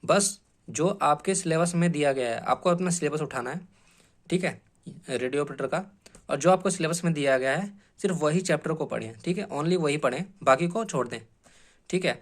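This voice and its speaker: Higher-pitched man teaching informally. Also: moderate pace. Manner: explanatory, repeatedly checks in with short tag phrases; mixes English terms into speech. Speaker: exam coaching teacher. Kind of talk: informal instructional exam-prep talk.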